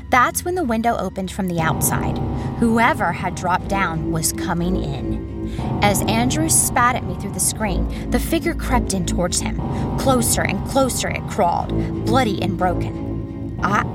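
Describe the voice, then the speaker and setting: A woman playing a haunted journalist, narrating a first-person horror story in a measured, suspenseful manner. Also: mid-range voice, lightly acted. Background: music.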